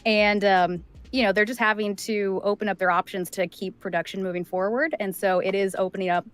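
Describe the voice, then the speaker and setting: A middle-aged woman voice actor chatting casually as an interview guest, animated in her storytelling and acting out quoted remarks. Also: mid-range voice, a little fast, smooth. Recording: music playing behind the voice. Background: background music.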